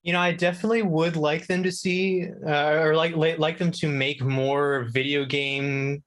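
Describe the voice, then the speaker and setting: A man's voice: a little high, flat delivery, normal speed, fairly bright tone. A man chatting casually as a guest on a gaming talk segment, hedging and thinking aloud as he answers. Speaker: video game contributor and guest. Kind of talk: casual chat.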